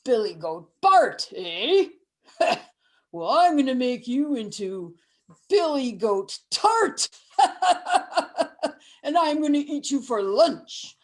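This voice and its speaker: Woman as a hungry storybook troll: folksy drawl, very slow, bit higher than expected. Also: sing-song, heavily acted. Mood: menacing. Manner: drawling, folksy, chuckling to himself. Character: grumpy hungry male troll under a bridge. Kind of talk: storytelling with voices.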